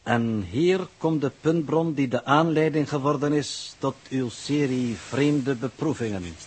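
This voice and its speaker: Casting: an authoritative male coordinator for vintage sci-fi radio drama, mid-pitched, slightly husky, formal and exact. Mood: measured, explanatory. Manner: formal, measured, pedantic wording. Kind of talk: 1960s Dutch radio drama acting.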